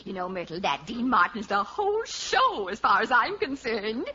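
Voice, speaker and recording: A woman's voice: fairly high pitch, wildly swooping pitch, normal speed, sharp tone, husky, heavily acted. A woman playing a gushing, tactless, star-struck show fan in 1940s radio comedy. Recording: dull, noisy old radio recording.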